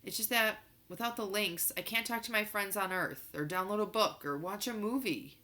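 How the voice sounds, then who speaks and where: An insistent, precocious boy from a children's novel, played by a woman reader. The voice is forceful and very bright, and he argues his case stubbornly. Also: mid-range voice, moderate pace, pitched above the performer's own voice, close to an everyday voice. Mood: insistent, questioning. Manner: insistent, argumentative. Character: young boy Dashiell, precocious, stubborn moon-base kid.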